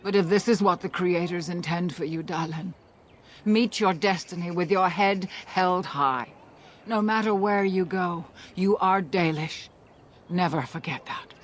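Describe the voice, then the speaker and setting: A man's voice: sits high, unhurried, a little hiss or hum. An actor, a man by voice, playing a solemn, caring elven clan leader in a fantasy game, with earnest, formal speech. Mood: sorrowful, proud. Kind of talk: video game cutscene acting.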